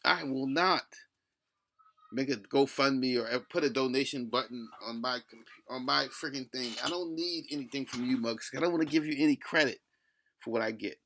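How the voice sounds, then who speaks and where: A man's voice: medium pitch, normal speed, sharp tone, a little hiss or hum. A middle-aged man with a lisp delivers a rambling, blunt opinion rant, cursing and breaking off mid-thought. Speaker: solo video blogger.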